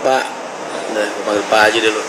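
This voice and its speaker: A man's voice: fairly low pitch, steady tone, fast talker. A man chatting informally and reading out comments, in a slangy, bantering way. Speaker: livestream or vlog host reading comments. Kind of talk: casual chat, reading out comments. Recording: roomy echo, loud background noise.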